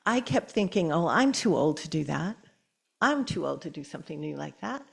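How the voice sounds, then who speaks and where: An older woman telling a personal story at a church gathering and reading her own poem aloud, with gentle laughter as she talks. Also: low voice, sing-song, normal speed, creaky, slightly rough. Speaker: church speaker.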